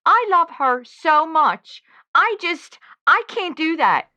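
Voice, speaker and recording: A woman's voice: very high voice, very animated, takes it slowly, bright, forceful tone, no echo, lo-fi sound, heavily acted. A woman playing an earnest, lovelorn and anxious caller in a radio drama, speaking hesitantly and with open feeling. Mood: distressed, pleading. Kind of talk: scripted audio drama.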